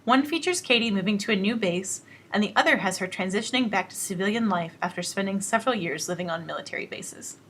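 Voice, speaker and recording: A woman reading scripted announcements about base events in an even promo style. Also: mid-range voice, fast talker, hardly any pauses, a little hiss or hum.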